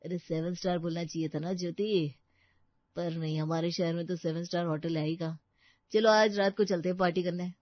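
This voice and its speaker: A mild, lovestruck young man in a storybook drama , as a woman plays him, with a low voice for a woman. He speaks in an accommodating, indulgent way, in a dry, slightly dull recording. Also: fairly even intonation, a little fast, dry recording, lightly acted. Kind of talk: Hindi audio story acting.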